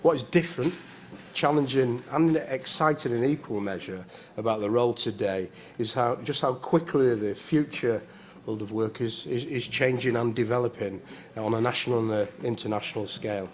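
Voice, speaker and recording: A man's voice: medium pitch, moderate pace, slight background noise. A man in his forties, a politician giving a prepared speech at a conference, earnest with hesitant restarts.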